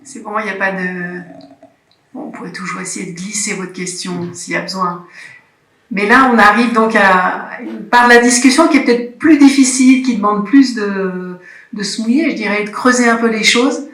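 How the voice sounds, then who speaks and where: Brief: woman workshop moderator, hesitant and halting, recorded in a roomy hall. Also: mid-range voice, a bit bright. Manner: searching for words, many restarts. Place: conference room. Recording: roomy conference hall sound.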